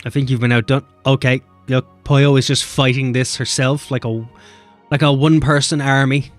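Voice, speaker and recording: A man's voice: medium pitch, faint music behind. A man streaming a game, chatting casually and swearing as he bickers with chat. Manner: animated, swearing, quick banter with chat.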